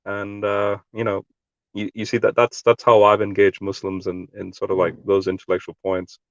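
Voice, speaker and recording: A man's voice: lowish voice, completely flat delivery, moderate pace, no room sound. A man in a casual online discussion, explaining his point earnestly with many fillers and restarts. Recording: dry, dull lo-fi audio.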